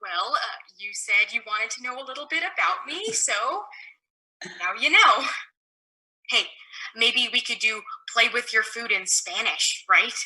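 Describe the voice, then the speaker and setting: Bright, girlish, pestering daughter, played by an actress. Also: fairly high pitch, lively intonation, a little slow, exaggerated voice, rough recording. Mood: chirpy. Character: sheltered, eager young daughter, needy and insistent. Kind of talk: one-act play performed on a video call.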